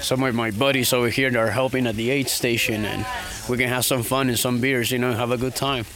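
A man's voice: mid-range voice, fairly even intonation, brisk, a crowd in the background. A man from Guatemala who has just finished a two-hundred-mile race, exhausted and joking candidly with plenty of fillers outdoors. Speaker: ultramarathon runner. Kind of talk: casual on-course interview. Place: outdoors at a race aid station.

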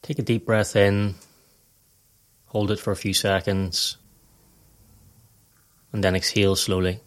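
Low-voiced man guiding a meditation. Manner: calm, measured, instructional. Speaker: guided meditation narrator. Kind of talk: scripted guided meditation narration.

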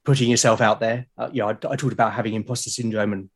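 A man's voice: fairly low pitch, fairly even intonation, very fast, slightly rough. A British man talking casually and earnestly as a podcast guest, with an encouraging way of speaking. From Britain. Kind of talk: casual interview chat.

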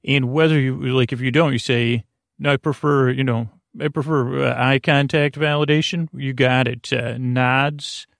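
Creaky-voiced man rambling gently at bedtime. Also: mid-range voice, fairly even intonation, moderate pace, dry recording, studio quality. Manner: meandering, hesitant, filler-heavy with tangents; gentle, soothing, quietly whimsical. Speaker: host of a sleep podcast.

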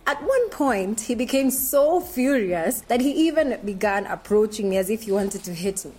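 A woman reading a personal essay aloud in the first person, with a measured, indignant delivery. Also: medium pitch, animated, mellow, slightly rough.

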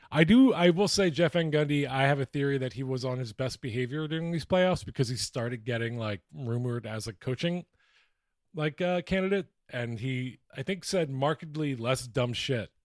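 A man with a mid-pitched voice talks casually as a podcast host, joking about sports. He is mocking and freely profane, and the sound is close and dry. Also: normal speed, dry recording. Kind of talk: casual comedic sports chat.

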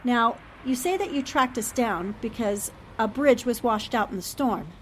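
A woman plays an earnest newspaperwoman in an old-time radio drama. Her voice sits fairly high and she reasons things out deliberately, sounding shaken yet determined. A faint rumbling noise runs underneath. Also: natural voice. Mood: shaken, relieved.

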